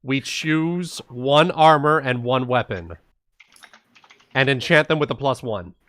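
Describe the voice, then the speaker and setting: A man chatting casually as a tabletop game player, playful and quick to exclaim. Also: mid-range voice, a bit bright, no echo. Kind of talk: casual chat in an online tabletop game session.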